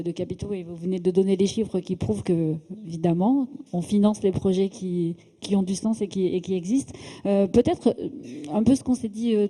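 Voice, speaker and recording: A woman's voice: medium pitch, warm tone. A woman interviewing a minister on a forum stage, phrasing her questions politely with frequent fillers. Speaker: interviewer, editor.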